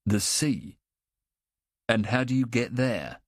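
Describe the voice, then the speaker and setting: A man voicing a calm adult policeman in an audiobook, questioning gently and steadily. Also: mid-range voice, takes it slowly, no room sound, studio quality, pitched above the performer's own voice. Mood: patient probing.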